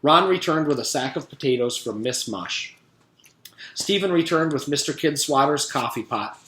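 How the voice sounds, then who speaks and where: A man's voice: a little high, animated, moderate pace, pushed and bright, reverberant. A man reading a children's storybook aloud like a teacher, expressively and speaking directly to young listeners.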